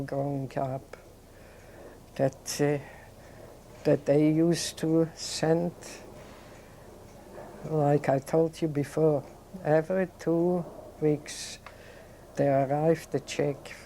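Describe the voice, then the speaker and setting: An older woman, recalling her childhood in an oral history interview, talking in a halting, reflective way with many restarts. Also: low voice, fairly even intonation, dark tone, a little hiss or hum. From Poland. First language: Yiddish. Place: indoors, seated on a sofa.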